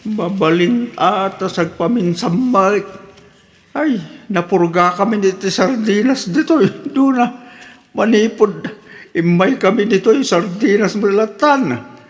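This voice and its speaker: A man's voice: high voice, a little slow. A man playing a put-upon elderly father-in-law who complains meekly in an audio drama. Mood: plaintive complaining. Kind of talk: radio drama acting in Ilocano. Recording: long reverb on the voice.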